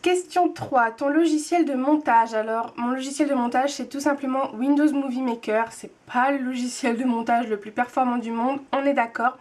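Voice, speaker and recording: A woman's voice: high-pitched, fairly even intonation, moderate pace, few pauses, a little mellow. A woman in her twenties chatting casually to camera, hesitant with many fillers and restarts as she answers tag questions. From France. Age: 20s. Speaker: booktube vlogger, nurse.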